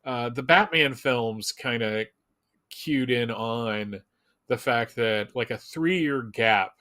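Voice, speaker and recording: A man's voice: mid-range voice, takes it slowly, pushed and bright. A man with a mid-range voice talks in the loose style of a fan commentator. He is informal and emphatic, and tends to ramble through his points. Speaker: Superman fan commentator on a fan live show. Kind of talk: casual fan discussion.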